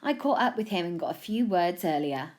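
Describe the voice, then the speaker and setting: A woman's voice: fairly low pitch, expressive, brisk, darkish tone, natural voice. A woman voicing an eager news reporter in a comic story, in the style of a live report from the street. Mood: excited.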